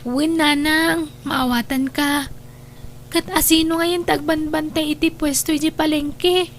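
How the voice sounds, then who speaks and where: A woman acting a young female character, expressive and plaintive, in an Ilocano radio drama. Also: high-pitched, measured pace. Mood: gentle. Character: young female, anxious girlfriend or dutiful daughter. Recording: slightly noisy radio drama track.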